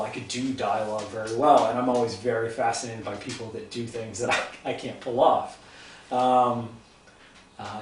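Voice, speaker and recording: A man with an Ohio accent hosting a cooking and book chat, talking in a thoughtful, halting way with many ums. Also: medium pitch, far from the mic. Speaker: host. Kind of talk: casual interview chat. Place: home kitchen. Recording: echoey room, voice off-mic, faint hum.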